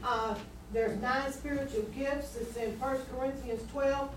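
A woman evangelist with a high voice exhorts a congregation earnestly, stumbling over repeated words. She is heard from far off in a very echoey church, with noise underneath. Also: fairly even intonation, a little slow. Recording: distant, echoey, noisy church room.

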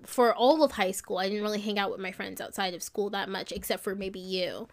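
Young woman, high childlike voice, middle pitch, glib, joking, swears casually, podcast guest. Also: fairly even intonation, brisk. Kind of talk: casual chat.

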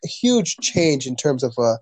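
A man's voice: mid-range voice, big pitch swings, moderate pace, a little mellow, no room sound. Earnest man, dry close sound. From Canada. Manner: earnest, rambling, frequent fillers and restarts. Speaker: podcast guest. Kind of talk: casual conversation.

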